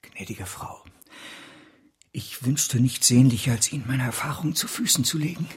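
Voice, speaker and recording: A man's voice: mid-range voice, expressive, moderate pace, husky. An actor playing a shrewd, cynical financier in a German radio drama, switching between muttered scheming, curt orders and oily courtesy. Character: shrewd, cynical male financier, impatient and smooth-talking.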